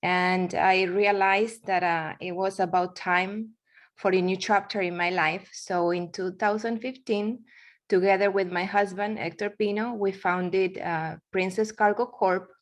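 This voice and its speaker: A woman's voice: medium pitch, steady tone, a little slow. A woman in her forties from Argentina, speaking English with an Argentine accent, giving a presentation with frequent fillers and restarts. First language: Spanish. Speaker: guest speaker. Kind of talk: webinar presentation.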